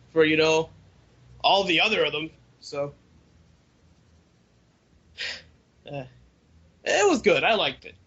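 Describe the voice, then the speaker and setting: A man's voice: on the high side, expressive, takes it slowly, pauses often, fairly bright tone, slightly rough. A young adult man chatting casually as co-host of a fan podcast over a voice call. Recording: dull lo-fi internet call.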